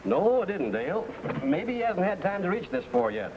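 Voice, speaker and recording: An actor playing a small-town western sheriff in an old-time radio show, plain-spoken, folksy and firm. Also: male voice, high voice, sing-song, moderate pace, a little mellow, highs cut off, slight background noise.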